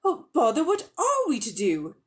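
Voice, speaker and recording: A woman voicing a plucky, soft-hearted young boy in a storybook, with a blunt, exclaiming manner. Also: high voice, wildly swooping pitch, normal speed, pitched above the performer's own voice. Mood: bothered.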